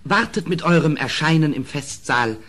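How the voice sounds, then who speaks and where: A man's voice: a little high, lively intonation, normal speed, a bit bright. A man playing a submissive yet scheming dwarf court jester in a German-style radio play. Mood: servile, sly.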